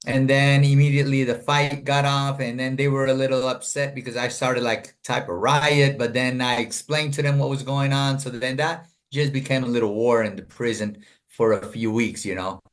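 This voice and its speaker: A man's voice: medium pitch, fairly even intonation, normal speed. A middle-aged man from Colombia, a guest telling his life story in an earnest, rambling way, full of fillers and restarts, over a video call.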